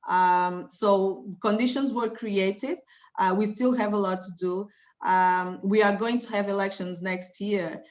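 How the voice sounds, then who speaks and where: A woman speaks on an online conference panel with a middle pitch, informal and full of repeated words and fillers. Her audio comes through dull and lo-fi. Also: steady tone, measured pace, darkish tone.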